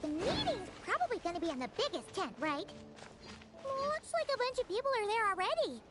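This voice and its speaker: A woman voicing a small, excitable, childlike fairy companion in a video game, with a squeaky voice and a chatty, exclamatory manner. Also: brisk. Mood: curious, relieved. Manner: exclamatory, refers to self by name.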